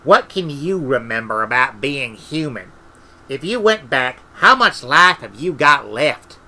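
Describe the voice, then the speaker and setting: A man playing a young man turning cold and deathly in an audiobook, speaking in a terse, deliberate, menacing way. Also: mid-range voice, big pitch swings, bright, forceful tone, heavily acted. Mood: challenging.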